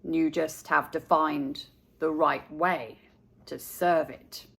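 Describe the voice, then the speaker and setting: A woman voicing a calm, kindly, wise old grandfather in a storybook, with a measured, gently advising manner. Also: deep voice, gravelly, breathy edge, voice pushed down, full character voice.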